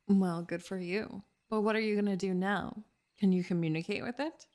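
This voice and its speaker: A woman's voice: mid-range voice, fairly even intonation, moderate pace, a little mellow, natural voice. A woman playing a sceptical, grumpy young elf in an ASMR roleplay, speaking in a dry, reluctant, easily flustered manner. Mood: sceptical, dismissive. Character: sceptical, grumpy, secretly intrigued young female elf forest warden.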